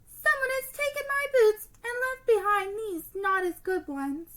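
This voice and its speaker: A woman voicing an upset little girl polar bear cub with a squeaky voice in a storybook. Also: very animated, a little slow, a bit bright, full character voice. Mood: dismayed.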